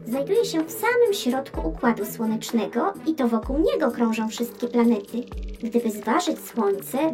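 A woman's voice: high voice, a little mellow. A woman voicing an intelligent, friendly female space probe, explaining simply in a scripted children's science lesson. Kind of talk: scripted educational narration. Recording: music playing behind the voice.

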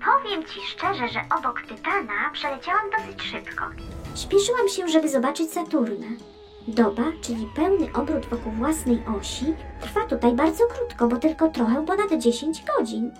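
A woman voicing a cheerful, curious, gently teasing space probe in a children's educational audio drama. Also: high-pitched, wildly swooping pitch, moderate pace, faint music behind. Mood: informative. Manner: playful, clear storytelling explanation.

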